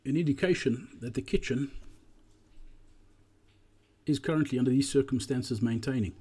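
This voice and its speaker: A man narrating a walkthrough presentation in a measured, explanatory way. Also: medium pitch, a little mellow, husky.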